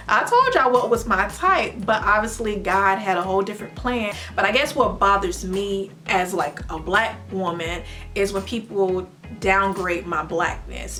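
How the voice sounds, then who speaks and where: Earnest young American mom vlogging. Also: female voice, mid-range voice. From United States. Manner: earnest, faith-filled, animated with playful outbursts. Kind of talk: casual talk to camera.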